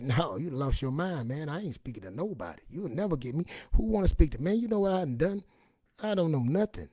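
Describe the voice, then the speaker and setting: A raspy-voiced man giving an informal, emphatic personal testimony in a streetwise, repetitive way, acting out bits of dialogue as he goes. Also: on the high side, mellow, no echo, studio quality. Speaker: online motivational and faith speaker.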